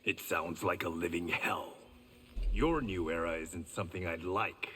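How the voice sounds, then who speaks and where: A man's voice: sits low, fairly even intonation, unhurried, pushed and bright, a little hiss or hum. An actor playing a stoic, wry swordsman in a fighting video game. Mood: stern. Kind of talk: video game dialogue, battle banter. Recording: hall reverb, music behind.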